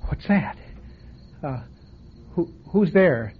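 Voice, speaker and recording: A man's voice: fairly high pitch, animated, slow pace, slight background noise. An actor playing a frightened male motel guest in an old-time radio drama, stammering and panicky. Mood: scared. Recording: tinny lo-fi radio broadcast.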